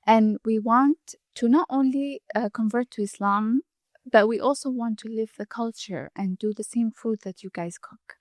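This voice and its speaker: A woman's voice: on the high side, normal speed, no room sound, clean studio sound. A woman from Saudi Arabia, a chef and food blogger, earnestly explaining at length in an interview and often restarting her sentences. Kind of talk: interview conversation.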